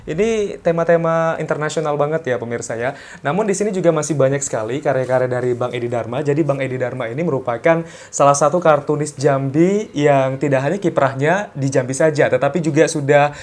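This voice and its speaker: A man's voice: fairly high pitch, fairly quick, a little mellow. A man hosting an interview program, chatty and upbeat, recorded in an artist's studio. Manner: upbeat, chatty with frequent affirmations. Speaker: program host. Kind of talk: on-location interview.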